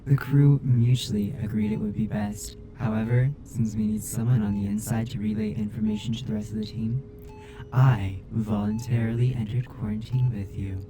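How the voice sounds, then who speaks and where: A man voicing a caring robot crewmate in an ASMR roleplay, speaking in a formal, precise, measured way. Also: medium pitch, expressive, music in the background, lightly acted. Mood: gently formal. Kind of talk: ASMR roleplay audio drama.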